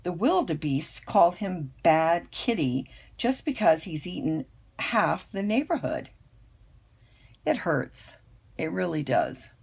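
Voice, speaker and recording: An older woman, a grandmother, reading a children's picture book aloud with expressive, storytelling emphasis. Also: fairly low pitch, gravelly, breathy edge. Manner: unhurried, dramatic storytelling emphasis.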